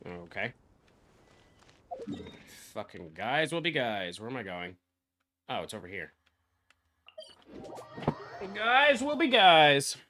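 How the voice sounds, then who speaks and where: A woman's voice: extremely low pitch, sing-song, slow, pauses often, background noise. A woman streaming a game, giving casual, profane reaction commentary and muttering to herself. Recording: game music behind.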